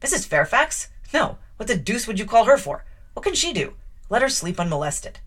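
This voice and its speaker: A woman reading an audiobook, voicing a gruff, imperious middle-aged gentleman who has just been woken. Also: medium pitch, sing-song, normal speed. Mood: dismissive. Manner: blustering, imperious.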